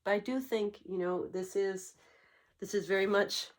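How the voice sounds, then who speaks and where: A woman hosting a tarot channel, getting over a cold and slightly congested, speaking in a reflective, musing way with frequent pauses and fillers. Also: medium pitch, steady tone. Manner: musing, searching for words, many fillers. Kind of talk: reflective spoken monologue.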